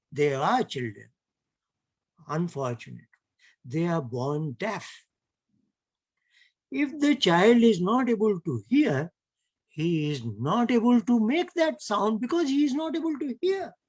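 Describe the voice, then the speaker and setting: A man with an Indian English accent lectures slowly and emphatically, and he often ends a point with a rhetorical tag question. His voice sits somewhat high for a man. The sound is dry and close to the mic. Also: breathy edge, no room sound. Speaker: lecturer at an online heritage camp. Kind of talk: online lecture.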